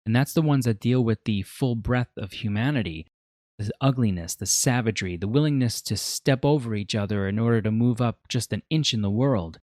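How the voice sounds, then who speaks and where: A man's voice: medium pitch, brisk, a little mellow, no echo, studio quality. A man in his forties narrating a scripted video review as its host, explaining in an earnest, emphatic way. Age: 40s.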